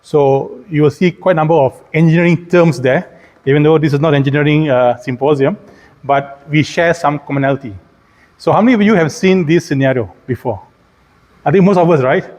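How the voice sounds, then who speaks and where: A man's voice: fairly high pitch, brisk, darkish tone. A middle-aged man with a Malaysian accent giving a conference keynote, putting rhetorical questions to his listeners. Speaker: keynote speaker, engineering professor. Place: large indoor conference hall, speaking at a podium. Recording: hall reverb from a PA.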